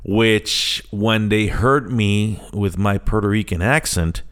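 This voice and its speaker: Man, American accent, lowish pitch, casual podcast talk, English mixed with Spanish phrases. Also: slow, fairly bright tone, clear, resonant tone. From Chicago, United States. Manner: conversational, switches between English and Spanish. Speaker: podcast host. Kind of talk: casual solo podcast introduction.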